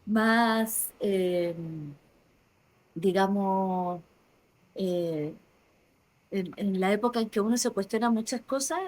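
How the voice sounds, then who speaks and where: Chilean woman, 40s, quiet reflective voice, kinda halting, guest on a podcast chat. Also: mid-range voice, rough recording. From southern Chile. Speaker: interview guest. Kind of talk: casual interview conversation.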